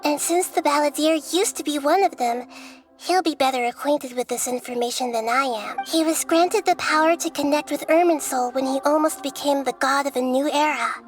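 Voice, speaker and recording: A woman playing a young goddess of wisdom with a high, gentle voice. She explains calmly and thoughtfully, measuring every word. Soft music plays faintly underneath. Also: moderate pace, lightly acted. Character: young-looking female god of wisdom, calm and gentle. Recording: game audio with faint music.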